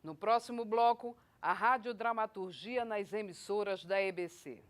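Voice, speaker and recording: Brazilian woman presenter, mid-pitched. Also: normal speed. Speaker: programme presenter. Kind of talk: scripted presenting.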